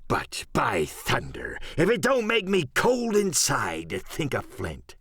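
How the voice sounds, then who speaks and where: Wily, swooping old pirate rogue. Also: male voice, medium pitch, wildly swooping pitch, slow pace, vocal fry, fairly bright tone, husky, no room sound, clean studio sound, exaggerated voice. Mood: uneasy, grim. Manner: salty old sailor's dialect, theatrical, swinging drawl. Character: wily, commanding seafaring rogue, a pirate ship's cook. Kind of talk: audiobook character acting.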